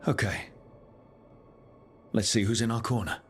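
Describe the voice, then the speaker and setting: Game lead: male actor as earnest young fantasy hero, lowish pitch, calm and direct, faint music underneath. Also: measured pace, natural voice. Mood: calm, supportive. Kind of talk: video game dialogue acting.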